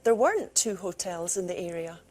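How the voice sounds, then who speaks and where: A woman teacher reading a scripted grammar lesson slowly and clearly, played from a tutorial video. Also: fairly low pitch, expressive, a little mellow, soft background music. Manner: slow, clear, deliberate.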